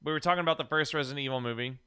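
A man's voice: on the high side, sharp tone, smooth. A man hosting a casual film discussion, often repeating words as he feels for his point. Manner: halting, repeats words while thinking. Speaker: podcast host.

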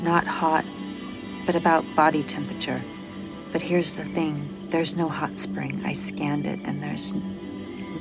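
A worn-down female mission officer stranded in space, earnest and protective of her crew. Her voice sits very low and breaks into creaky fry. It is an audio drama performance with music clearly underneath. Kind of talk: audio drama acting, logged report monologue.

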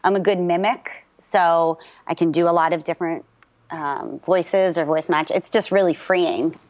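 A woman with a low, deep speaking voice talks about her work as a voice actor in a casual interview. She is energetic and talkative and fills her speech with "um" and "you know." The recording sounds a little dull and muffled. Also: moderate pace. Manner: lively and talkative, with many fillers.

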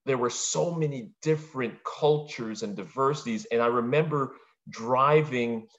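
A man's voice: medium pitch, moderate pace, dull audio. A middle-aged man from Brooklyn, a podcast interview guest, talking reflectively in long, winding sentences. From Brooklyn, New York.

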